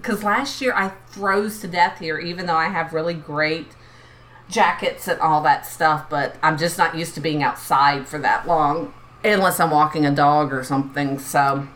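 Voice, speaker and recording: A woman's voice: low-pitched, normal speed, fairly bright tone. A woman co-hosting a podcast, talking in a rambling, chatty way and laughing easily. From lived in New Orleans for twenty plus years. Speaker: podcast co-host. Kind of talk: casual chat.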